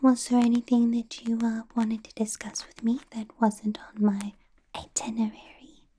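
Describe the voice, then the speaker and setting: A woman voicing a possessive ancient female vampire boss in a whispered ASMR roleplay, soft and doting with a quiet menace, close to the microphone. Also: fairly high pitch, little pitch movement, moderate pace, slight background noise, lightly acted. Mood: sweetly menacing.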